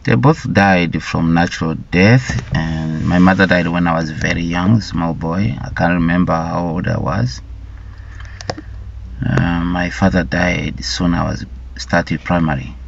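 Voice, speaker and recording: A man from Rwanda speaks slowly in a very low voice, telling his personal story with halting, deliberate phrasing and repeated words. The recording sounds dull and lo-fi. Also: fairly even intonation, vocal fry, slight background noise. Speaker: vlogger sharing his life story.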